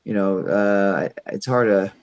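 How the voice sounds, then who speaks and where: A man with a fairly low voice talks casually as a podcast guest. He is from Iran and speaks in a relaxed, easygoing way. He often restarts his sentences and leans on fillers. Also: unhurried. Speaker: podcast guest, MMA fighter. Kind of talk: casual interview chat.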